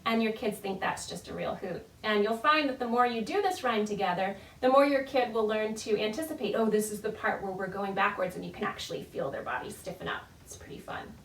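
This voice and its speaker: A woman's voice: mid-range voice, a little fast, hardly any pauses, a bit bright. A woman children's storytime leader giving friendly, encouraging instructions to parents and babies in a sing-song, demonstrative way. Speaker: children's librarian leading a baby storytime. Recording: roomy echo, distant mic.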